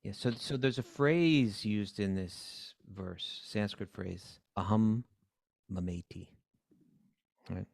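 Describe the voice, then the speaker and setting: A man co-hosting a casual discussion of scripture, explaining verses in a measured way with hesitation fillers. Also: on the low side, creaky, darkish tone, dry recording, clean studio sound. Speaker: podcast co-host.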